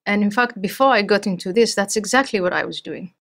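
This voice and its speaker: A middle-aged woman from Somalia, speaking as an interview guest in a thoughtful, measured way with frequent restarts. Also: mid-range voice, fairly bright tone. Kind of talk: interview conversation.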